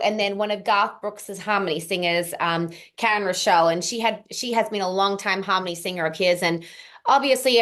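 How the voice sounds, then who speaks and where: A woman's voice: mid-range voice, fairly bright tone. A young woman with an accent that mixes Australian and American, chatting at length as an interview guest, with frequent ums. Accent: mixed Australian and American. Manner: chatty, frequent ums, long run-on answers. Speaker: singer-songwriter guest. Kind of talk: casual interview chat.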